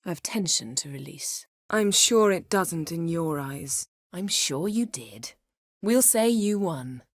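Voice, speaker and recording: An actress voicing a proud, sharp-tongued sorceress in a fantasy video game, with a crisp, imperious, dryly sardonic delivery. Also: female voice, on the low side, expressive, slow, no echo, clean studio sound. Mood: soft, intimate.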